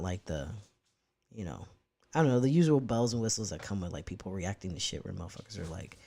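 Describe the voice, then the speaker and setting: A man's voice: vocal fry, warm tone. A young man talking casually to his live-stream chat, rambling and cursing freely as he fiddles with his setup. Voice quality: medium-pitched voice, neither deep nor high.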